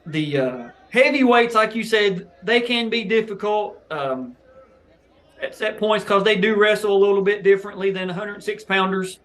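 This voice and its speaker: A man with a fairly high, light voice hosts a review of wrestling footage. He breaks down each call step by step in a steady, instructive way, pointing out the mechanics for newer officials. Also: normal speed. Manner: explanatory, coaching tone toward new officials. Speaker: host reviewing match footage.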